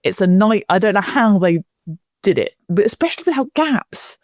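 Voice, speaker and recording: A woman hosts a casual podcast in a mid-pitched voice. She talks in an informal, jokey and animated way. The sound is dry, with no room around her. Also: normal speed, dry recording. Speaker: podcast host. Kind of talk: casual, chatty podcast talk.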